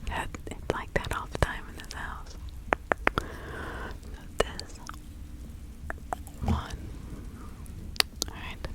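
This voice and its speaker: A woman's voice: drawn-out words, pauses often, low-quality recording. A woman voicing a kindly, fussy old-time witch healer in a soothing, rambling ASMR roleplay. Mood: flustered. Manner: soothing, rambling, muttering asides.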